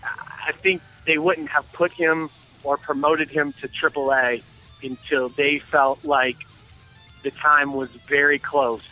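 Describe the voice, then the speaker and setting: An American man giving baseball commentary as a radio guest, measured and halting as he thinks aloud. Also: medium pitch, muffled recording. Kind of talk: interview commentary.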